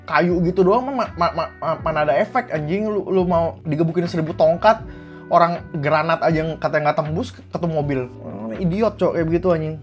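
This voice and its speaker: A man's voice: on the high side, a little fast, faint music behind. A young man from Indonesia, ranting angrily to camera as a vlogger, blunt and swearing often. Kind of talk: heated commentary to camera.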